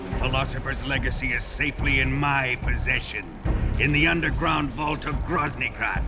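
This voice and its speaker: An actor playing a sadistic, domineering military villain in a video game, menacing and theatrical in manner. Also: male voice, medium pitch, steady tone, moderate pace, music in the background, close to an everyday voice. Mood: triumphant taunting.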